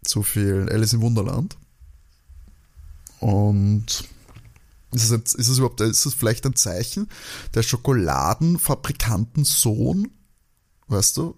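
A man chatting casually as a podcast co-host, joking and rambling as he thinks out loud. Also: medium pitch. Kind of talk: casual chat, guessing along with an audio drama.

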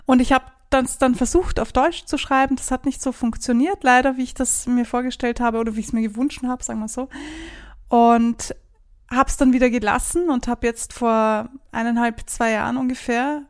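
Woman interviewer just chatting, slightly high voice, songwriter, informal talk. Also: fairly even intonation, normal speed. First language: German. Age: adult, not young teens. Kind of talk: casual conversation.

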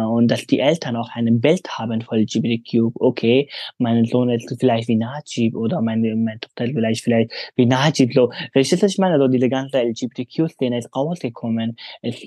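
Man in his twenties, mellow husky voice, dry close sound, hesitant speech with many fillers. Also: mid-range voice, fairly even intonation, moderate pace, no room sound. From Afghanistan. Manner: hesitant, many fillers, non-native grammar. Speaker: interview guest. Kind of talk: casual interview answers.

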